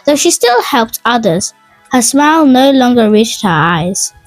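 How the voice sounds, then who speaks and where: A woman narrating a scripted folk tale in a dramatic, expressive and measured storytelling manner. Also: a little high, dry recording, rough recording. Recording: dry voice over music. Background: music.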